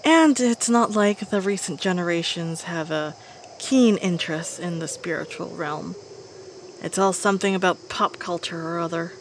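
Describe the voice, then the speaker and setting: A woman's voice: lowish voice, moderate pace, a little hiss or hum, natural voice. A woman playing a weary old veteran witch in a scripted audio drama, dry, wistful and grumbling. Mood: wry, weary.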